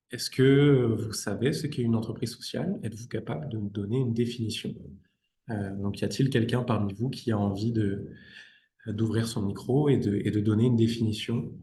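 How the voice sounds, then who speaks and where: Echoey low-voiced man, southwestern French accent. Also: mellow. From southwest France. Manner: inviting participants to discuss. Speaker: trainer, sales and strategy expert. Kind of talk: online training presentation. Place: indoors, on a video call. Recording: roomy, echoing sound.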